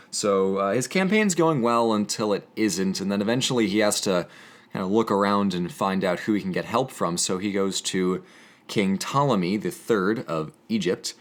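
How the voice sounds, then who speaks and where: A man hosting and reading poetry, moving between casual commentary and measured, theatrical literary delivery. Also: on the low side. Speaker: host of an educational channel.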